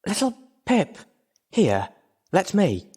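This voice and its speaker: A man voicing a gentle, worried female pony medic who talks in a soft, weary, caring way. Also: high voice, wildly swooping pitch, takes it slowly, husky, voice pushed up, full character voice. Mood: caring, exhausted.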